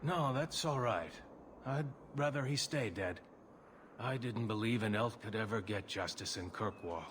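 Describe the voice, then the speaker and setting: A man's voice: fairly low pitch, unhurried, fairly bright tone, slight background noise. A man playing an earnest, grateful elf father in a video game, speaking in a heartfelt way. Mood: solemn gratitude. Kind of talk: video game dramatic acting.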